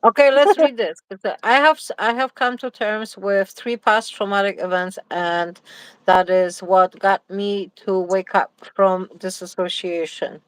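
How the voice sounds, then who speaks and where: Slow, hesitant woman with a Polish accent. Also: medium pitch, steady tone, clean tone, no echo, rough recording. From Poland. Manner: hesitant, reads viewer comments aloud. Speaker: livestream co-host. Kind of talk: casual live chat.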